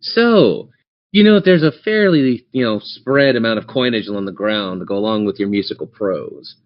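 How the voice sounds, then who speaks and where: A man's voice: lowish voice, normal speed. A man running a tabletop role-playing game, narrating scenes in a descriptive, theatrical storyteller's manner. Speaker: game master. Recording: dull lo-fi audio.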